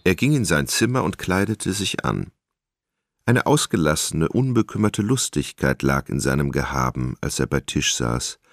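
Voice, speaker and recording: Male radio-play narrator, bottom-register bass with marked fry, literary storytelling. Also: animated, moderate pace, no echo, clean studio sound.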